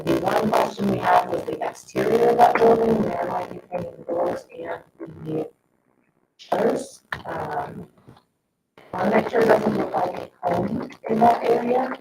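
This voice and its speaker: A man's voice: rumbling low, vocal fry. A man giving an informal staff update at a board meeting. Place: indoor town board meeting room. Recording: very noisy, echoey room, distant mic.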